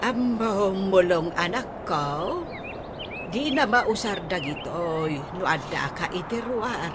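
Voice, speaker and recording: A woman's voice: medium pitch, expressive, slow pace, background noise. A woman with an Ilocano accent playing an elderly mother who scolds and consoles in a radio comedy drama. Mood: consoling, admonishing.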